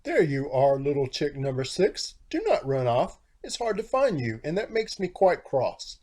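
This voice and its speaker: Man voicing a fretful storybook mother hen, mellow. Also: on the high side, big pitch swings. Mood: scolding. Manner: dramatic, emphatic, deliberate rhyming warnings. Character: fretful, protective mother hen, scolding and warning.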